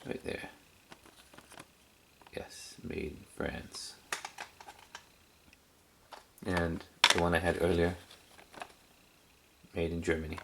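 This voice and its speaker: A man's voice: low-pitched, little pitch movement, slow, vocal fry, long pauses, background noise. A man chatting casually and hesitantly as he shows off a collection, repeating words for emphasis. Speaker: cassette collector video host. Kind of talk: casual rambling show-and-tell.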